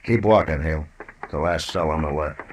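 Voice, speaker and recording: Low-voiced, laconic frontier marshal. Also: male voice, fairly quick, slight background noise. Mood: commanding. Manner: laconic, sardonic, commanding. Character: tough, unyielding frontier town marshal, coolly menacing. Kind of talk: old-time radio western acting.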